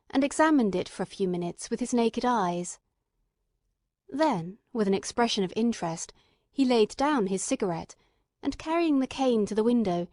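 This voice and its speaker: A woman voices an earnest, eager male companion telling a detective story. The voice is fairly high, with careful, literate audiobook phrasing. The recording is dry, with hardly any room sound. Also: mellow, no echo, natural voice. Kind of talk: scripted audiobook narration.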